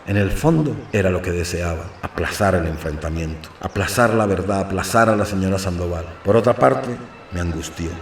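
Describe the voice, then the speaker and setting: Gravelly Colombian man narrating, roomy sound. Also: low-pitched, fairly quick. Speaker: narrator of an audio novel, in first person as a priest. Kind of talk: scripted dramatic narration. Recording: roomy, slightly distant, faint noise.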